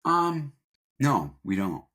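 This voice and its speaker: A man's voice: mid-range voice, sing-song, slow, fairly bright tone. A man telling stories casually as an interview guest, informal and prone to acting out bits of dialogue. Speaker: interview guest, company founder. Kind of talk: casual conversational storytelling.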